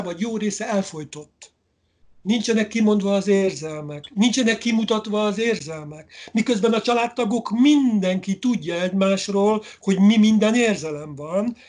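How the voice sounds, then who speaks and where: A man in his sixties, a novelist, answering interview questions slowly and thoughtfully over a webcam video call, often pausing to search for words. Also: high-pitched, darkish tone. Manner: slow, musing, searching for words. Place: indoor room at home.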